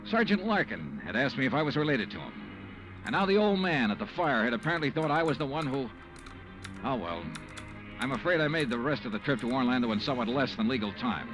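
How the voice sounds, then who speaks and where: An actor playing a wry, hardboiled insurance investigator, narrating a vintage radio detective drama in a brisk, dry manner. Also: male voice, medium pitch, big pitch swings, music in the background, close to an everyday voice, poor audio. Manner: brisk, dry, matter-of-fact.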